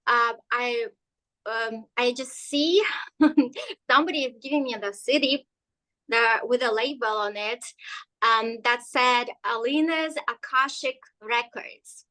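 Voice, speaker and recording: A woman's voice: fairly high pitch, slow, pushed and bright, poor audio. A woman whose first language is Russian, telling her story as a guest with frequent hesitations and fillers. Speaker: guest energy healer. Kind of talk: casual interview storytelling.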